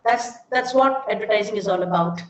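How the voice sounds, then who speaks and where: A woman's voice: medium pitch, a little fast. A middle-aged woman with an Indian accent explaining things in an informal online lecture, often posing questions to her listeners. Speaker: seminar speaker, ad film maker. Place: indoors, online seminar. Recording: dull, echoey online call with hiss.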